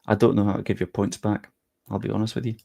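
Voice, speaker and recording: A man's voice: on the low side, flat delivery, fast, vocal fry, dark tone, no room sound, clean studio sound. A young man live-streaming, chatting casually with his viewers and thinking aloud as he works on screen.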